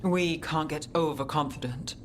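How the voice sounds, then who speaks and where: A woman's voice: low-pitched, normal speed, slight background noise, close to an everyday voice. A woman voicing a cool, resolute female mage in a video game, guarded and matter-of-fact with a dry wit. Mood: guarded, determined. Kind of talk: video game character acting.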